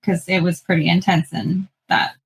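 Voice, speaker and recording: A woman's voice: lowish voice, level tone, normal speed, gravelly, breathy edge. A woman co-hosting a podcast, asking a guest questions in an easygoing, curious way. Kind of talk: casual interview chat.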